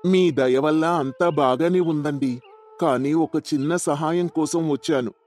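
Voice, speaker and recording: A man's voice: a little high, unhurried, a little mellow, soft background music, natural voice. Man playing a humble, pleading poor farmer. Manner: deferential, pleading.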